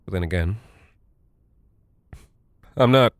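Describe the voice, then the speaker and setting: A man playing an anxious pilot and husband in an audio drama, rambling haltingly and nervously to his wife as if reassuring himself. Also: deep voice, no room sound, studio quality. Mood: uneasy, reassuring himself.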